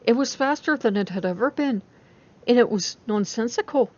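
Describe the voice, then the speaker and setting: A woman's voice: a little high, lively intonation, normal speed, darkish tone. Woman doing dramatic audiobook narration, kinda muffled lo-fi recording. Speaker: story reader.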